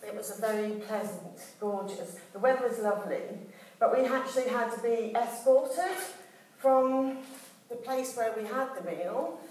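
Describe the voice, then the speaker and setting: A woman's voice: a little high, moderate pace, darkish tone. A woman leads a flower arranging demonstration, chatting her way along with plenty of ums, restarts and asides. She sounds a fair way from the microphone. Her voice rings out in a very echoey hall with faint noise behind it. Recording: echoey hall, recorded from a distance.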